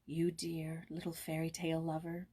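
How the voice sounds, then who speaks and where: A woman's voice: low-pitched, completely flat delivery, moderate pace, mellow, breathy edge. A woman voicing a tender, affectionate grown-up female cousin in a children's storybook reading.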